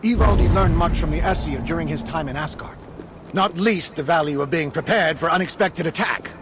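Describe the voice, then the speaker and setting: A man's voice: on the high side, a little fast, very noisy, lightly acted. A man playing a talkative male dwarf rebel in a fantasy game, confident, flippant and wry. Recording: loud game music behind.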